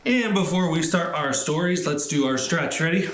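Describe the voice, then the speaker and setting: A man's voice: on the high side, moderate pace, fairly bright tone, reverberant, slight background noise. A man hosting a storytime for children, talking simply and encouragingly as he leads activities and tells stories. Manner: encouraging, simple instructions addressed to young children. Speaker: library storytime host.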